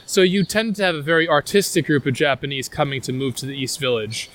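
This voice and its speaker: A man's voice: fairly high pitch, animated, fast talker, fairly bright tone. A man with a New York accent giving a casual walking tour outdoors on city streets, rambling enthusiastically with fillers and restarts. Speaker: tour guide.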